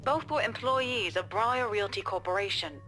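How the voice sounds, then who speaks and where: Bright, formal newswoman, played by an actress. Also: mid-range voice, normal speed, faint music behind, natural voice. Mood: formal, serious. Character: formal, businesslike female TV news reporter. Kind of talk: news report within a visual novel game.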